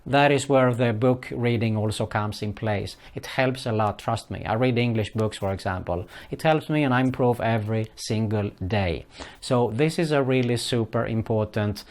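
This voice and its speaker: A middle-aged man gives earnest advice in English, his third language. He talks casually and stops often on "uh" and "for example". His voice sits in an ordinary middle pitch. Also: moderate pace, darkish tone. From Sweden. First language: Swedish. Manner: earnest, repeats "for example" and "keep that in mind", frequent "uh" fillers. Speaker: online business vlogger. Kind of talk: casual advice talk to camera.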